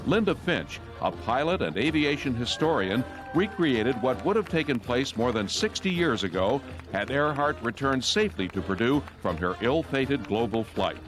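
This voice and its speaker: A man's voice: medium pitch, very animated, normal speed, few pauses. A man reading scripted newsreel narration as the narrator. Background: music.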